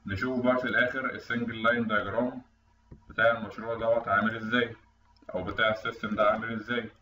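A man's voice: lowish voice, bright, forceful tone. A man with an Egyptian accent explaining technical material step by step as an online instructor. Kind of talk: tutorial explanation over screen recording. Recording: roomy, distant mic, dull, faint noise.

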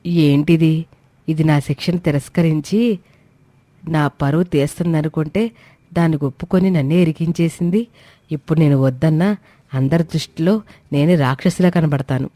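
A woman's voice: deep voice, fairly even intonation, moderate pace, pauses often, dark tone, no echo, close to an everyday voice. A woman voicing a domineering, scheming middle-aged mother-in-law with a commanding, self-righteous way of talking. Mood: scheming, dismayed.

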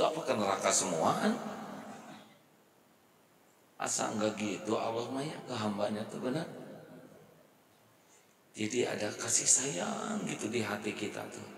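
A middle-aged man preaching a relaxed, chatty sermon, often turning to the crowd for a nod of agreement. He speaks into a handheld mic in a big mosque hall, and his voice comes through roomy and distant, with a long echo. Faint room noise sits under him. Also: on the low side. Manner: conversational, often asks the audience to agree and swings between soft and loud. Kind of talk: casual sermon to a congregation. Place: large mosque hall, indoors. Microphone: handheld microphone. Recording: echoey hall from far off.

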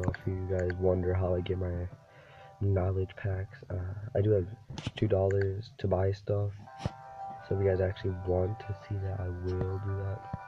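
Deep-voiced sick young man rambling. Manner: rambling, slangy, says dude a lot. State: sick, voice deeper than usual. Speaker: gaming YouTuber. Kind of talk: casual gameplay commentary. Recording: dull lo-fi recording with game music behind. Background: game music.

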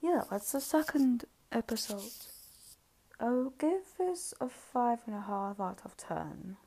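A woman's voice: on the high side, very animated, slow pace, noisy background. A woman giving casual, hesitant spoken commentary as she reviews videos she is reacting to.

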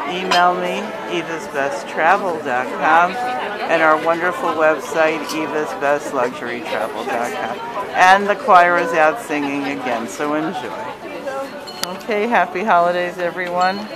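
A woman's voice: deep voice, a little slow. Chatty woman touring a crowded market. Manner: cheerful, chatty, promotional. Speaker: travel agent and host. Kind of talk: handheld vlog walking tour. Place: crowded outdoor Christmas market. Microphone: handheld phone camera. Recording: busy crowd noise around.

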